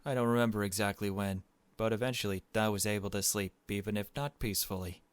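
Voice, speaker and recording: A man's voice: on the low side, steady tone, fast talker, clean tone, dry recording. A man reading a visual novel aloud as a gaming video host, dropping in casual asides of his own. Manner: casual self-commentary between read passages.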